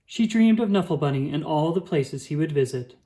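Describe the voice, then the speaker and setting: A woman reading a children's picture book aloud with expressive, drawn-out emphasis. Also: deep voice. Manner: animated, dramatic emphasis with drawn-out words.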